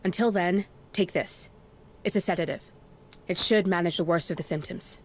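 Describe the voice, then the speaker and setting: A woman's voice: lowish voice, normal speed, natural voice. A woman playing a frank, no-nonsense military medic in a video game cutscene, with a dry manner. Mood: clinical concern. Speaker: ship's medic.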